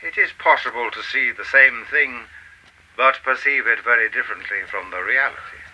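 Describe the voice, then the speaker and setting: An actor playing an enigmatic, otherworldly male teacher in a radio play, speaking in a calm, cryptic, formal and measured way. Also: medium pitch, muffled recording, slight background noise. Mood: cryptic, lofty.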